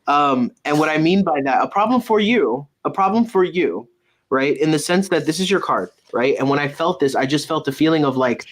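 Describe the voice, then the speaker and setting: A man giving a casual, intuitive tarot reading, halting and circling back to repeat his phrases. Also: a little high. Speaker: tarot reader and medium, host.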